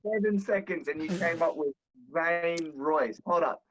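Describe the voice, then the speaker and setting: A man's voice: on the high side, unhurried, fairly bright tone. A young man co-hosting a podcast in casual banter, teasing and swearing with emphatic repetition. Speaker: podcast co-host. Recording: clear background noise with clicks.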